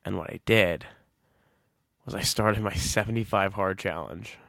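A man's voice: sits low, unhurried, vocal fry, a bit bright, dry recording, clean studio sound. A man in his twenties hosting a solo podcast, talking casually and reflectively, rambling and often correcting himself. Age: 20s. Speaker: podcast host.

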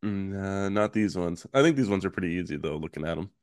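A man hosting a podcast, his voice raspy and hoarse from a recent illness, reading trivia questions slowly in casual chat. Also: deep voice, no room sound, studio quality. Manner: reads questions slowly, relaxed filler-heavy talk. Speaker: podcast host.

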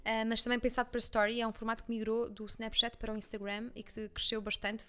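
A young woman journalist with a Leiria Portuguese accent talks quickly and eagerly in an interview. Her speech rushes forward, full of fillers and restarts as she works through her ideas. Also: on the high side. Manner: fast, animated, many restarts and fillers. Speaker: journalist guest. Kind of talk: conversational interview.

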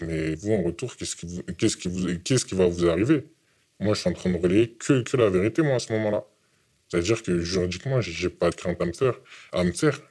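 A young man from France giving a halting, repetitive testimony in an interview. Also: low voice, darkish tone. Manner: halting, repeats words. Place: hidden from camera.

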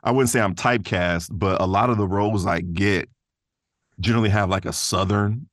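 A man's voice: deep voice, normal speed, no room sound, clean studio sound. A man speaking informally as a guest voice actor in a casual interview, chuckling between phrases.

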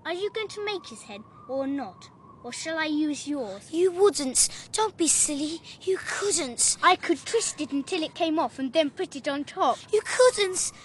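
A very high-voiced English child speaks in an eerie, repetitive sing-song. The delivery is insistent and ominous, in a radio horror play with some background noise. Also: animated, a little slow, a bit bright. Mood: taunting, pleading. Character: eerie, insistent eleven-year-old child in a horror tale. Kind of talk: British radio horror drama acting.

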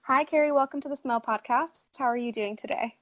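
A woman's voice: sits high, brisk, fairly bright tone, no echo. A woman from Idaho hosting a podcast interview, asking questions in an upbeat, encouraging way. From United States, southern Idaho. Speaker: podcast host.

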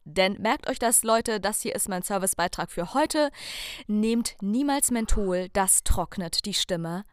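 A woman's voice: mid-range voice, lively intonation, unhurried, smooth, dry recording, studio quality. A woman co-hosting a casual podcast, talking playfully and theatrically and addressing listeners directly. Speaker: podcast co-host.

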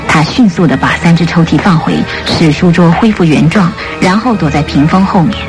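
A woman narrating a radio drama from a script in an expressive storytelling manner. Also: on the low side, brisk. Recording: studio radio play with music bed.

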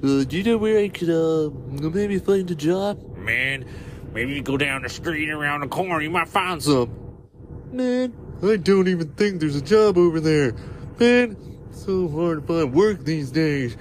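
Casual man over clear background noise. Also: fairly high pitch, expressive, measured pace. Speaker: aspiring voice actor. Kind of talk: casual voice acting demo reel, improvised character bits.

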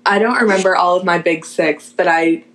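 Laid-back young woman, lower voice. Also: normal speed, a bit bright, poor audio. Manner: laid-back, teasing, giggly. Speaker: podcast co-host. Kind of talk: casual chat.

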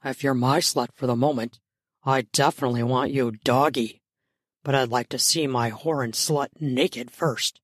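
A woman voicing a lustful, admiring male lover in an audiobook, with a gruff, cocky, muttering delivery. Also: fairly even intonation, dry recording, studio quality. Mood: commanding, lustful. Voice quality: low husky murmur. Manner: slow, muttering through clenched teeth.

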